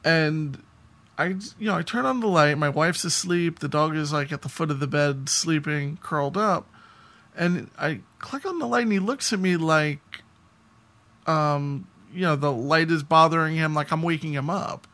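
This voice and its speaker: A man's voice: a little high, normal speed, sharp tone. A man co-hosting a podcast, telling anecdotes in a casual, rambling conversational way. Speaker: podcast co-host.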